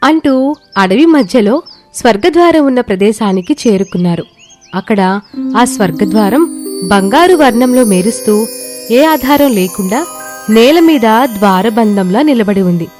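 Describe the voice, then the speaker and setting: A woman's voice: fairly high pitch, lively intonation, normal speed, long pauses. A woman reading a scripted story as a narrator. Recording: music bed behind the voice.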